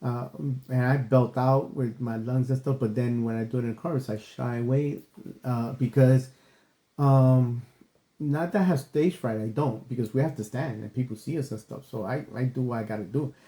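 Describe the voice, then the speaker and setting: A man's voice: medium pitch, fairly even intonation, normal speed, mellow, echoey room. A man co-hosting a podcast in casual, earnest chat. He rambles, circles back and fills with "you know" and "stuff like that.". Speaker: podcast co-host. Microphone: desk microphone.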